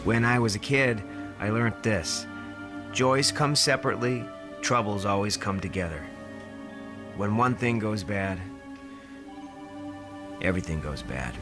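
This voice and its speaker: An actor playing a controlling, evasive middle-aged American company boss in an audio drama, with an insistent managerial manner. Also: male voice, fairly low pitch, a little slow, pauses often, music in the background, natural voice. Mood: brooding.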